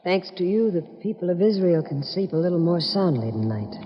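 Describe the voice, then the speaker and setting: An actress playing a composed, grateful ally in 1950s radio drama style. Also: female voice, fairly low pitch, sing-song, brisk. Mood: sincere. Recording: muffled, echoey vintage radio recording.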